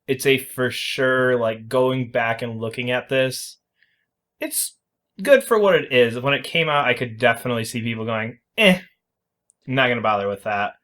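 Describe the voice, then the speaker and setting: A man's voice: mid-range voice, moderate pace, a bit bright. A man hosting a casual podcast, explaining and reviewing video games in an informal, emphatic way. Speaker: podcast host.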